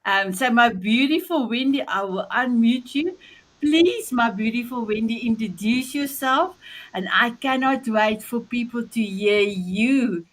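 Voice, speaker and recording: A woman host with a slightly high voice and a slow, drawn-out pace. She gushes over each guest on an online video call. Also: animated. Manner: effusive, gushing. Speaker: summit host. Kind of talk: hosting an online summit.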